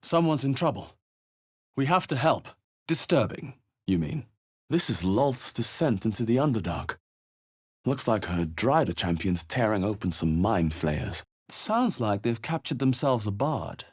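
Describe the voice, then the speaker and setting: Wry, husky fantasy adventurer. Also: male voice, medium pitch, animated, unhurried. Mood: serious, resolute. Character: male fantasy adventurer hero, wry, cool-headed, suspicious. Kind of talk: video game voice lines.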